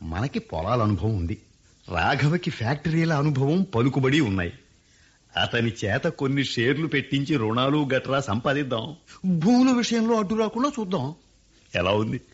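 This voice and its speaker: An actor playing a scheming landowner who plots persuasively over family land. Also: male voice, mid-range voice, sing-song, moderate pace, breathy edge. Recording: dull lo-fi radio recording.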